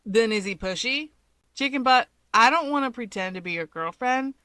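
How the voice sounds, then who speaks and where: A woman's voice: a little high, lively intonation, normal speed, pushed and bright, clean tone, dry recording, clean studio sound, pitched above the performer's own voice. A woman voicing a brash, blunt hero woman with a teasing, exasperated, sardonic manner in a story reading. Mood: irritated, brash.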